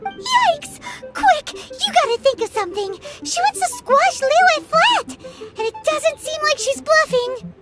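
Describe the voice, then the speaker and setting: A woman voicing a small, excitable, childlike fairy companion with a squeaky voice in a video game. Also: slow pace, heavily acted. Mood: alarmed, pleading. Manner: speaks of self in third person, exclamatory. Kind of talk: animated video game voice acting. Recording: music behind the voice. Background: playful game music.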